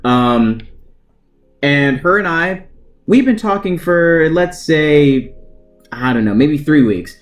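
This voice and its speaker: A man's voice: a little high, expressive, slow, pauses often. A young adult American man telling personal stories in a casual, chatty, self-deprecating and joking way. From United States. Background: guitar music.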